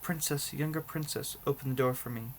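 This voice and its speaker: An insistent, demanding frog from a storybook , acted out by a man reading aloud. The voice sits at a medium pitch and pleads for what it wants. There is noise on the recording. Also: fairly even intonation, brisk, close to an everyday voice. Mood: calling, insistent. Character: insistent young male frog, demanding and weary.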